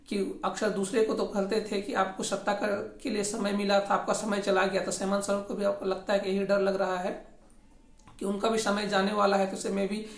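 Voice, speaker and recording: A speaker with a Jharkhand accent giving informal political commentary, often repeating and rewording phrases in a halting way. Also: mid-range voice. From Jharkhand, India. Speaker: host of a regional news commentary channel. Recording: echoey, roomy sound.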